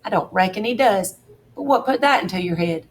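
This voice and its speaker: A woman voicing a bold, scheming boy who speaks calmly, plays puzzled and then turns stern. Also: medium pitch, fairly quick, recorded from a distance, slight background noise, natural voice. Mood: cool. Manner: calm, mock-puzzled, stern.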